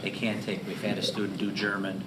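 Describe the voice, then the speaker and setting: A man gives matter-of-fact explanations at a meeting as a school official. He talks quickly in a low, flat voice. Heavy noise runs behind him. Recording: very noisy meeting room pickup.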